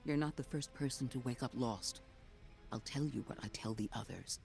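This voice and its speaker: An actor voicing a calm, measured man who guides newly woken people, speaking slowly and reassuringly in a video game cutscene. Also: mid-range voice, vocal fry, soft background music, natural voice. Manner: measured and reassuring, slow deliberate phrasing.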